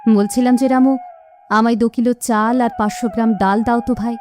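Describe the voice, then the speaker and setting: A woman voicing a weary, gentle widowed mother in a village in a cartoon. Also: fairly high pitch, steady tone, fairly quick, faint music behind, natural voice. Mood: hesitant. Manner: pleading, weary.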